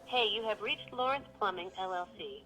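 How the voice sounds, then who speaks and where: A woman's voice: medium pitch, moderate pace, very noisy. A synthetic woman's voice as a polite virtual assistant, giving scripted, neatly phrased customer service. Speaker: virtual phone receptionist.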